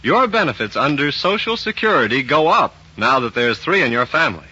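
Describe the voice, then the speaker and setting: A man's voice: medium pitch, very animated, a little fast, pushed and bright, slightly rough. A man announcing and delivering a scripted sponsor message in polished, emphatic 1940s radio style. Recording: dull 1940s radio recording.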